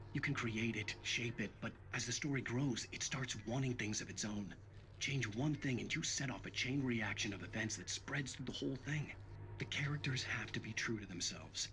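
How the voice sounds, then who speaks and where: Casting a man as an ominous, brooding lecturer for a game monologue, mid-pitched, tense and deliberate. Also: a bit bright, lightly acted. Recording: faint noise and ambience behind.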